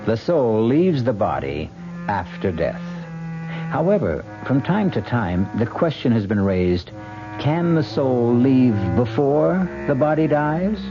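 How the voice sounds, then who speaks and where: A man gives dramatic scripted narration as a radio host. His delivery is measured and wry, with highly varied intonation. Music plays clearly behind a dull vintage recording. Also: sing-song. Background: prominent music.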